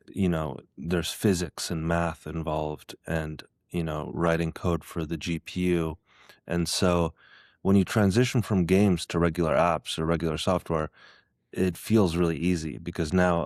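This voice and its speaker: A man's voice: low-pitched, darkish tone, no room sound, studio quality. A man talking as a podcast guest in a casual conversation, hesitant, with many fillers and restarts. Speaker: guest, iOS app developer.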